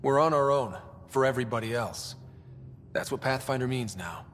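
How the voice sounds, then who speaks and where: An actor playing an earnest young expedition leader in a video game, speaking in a casual, sincere way. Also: male voice, medium pitch, moderate pace, a bit bright, slight background noise, close to an everyday voice. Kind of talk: video game cutscene acting.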